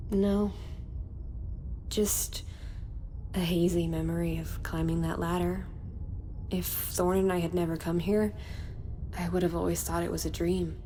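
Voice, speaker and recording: A woman's voice: fairly low pitch, little pitch movement, measured pace, pauses often, warm tone. A woman playing a wry, guarded young heroine in an audio drama, dry and conversational, with faint noise. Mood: subdued, wistful. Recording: faint background ambience and noise.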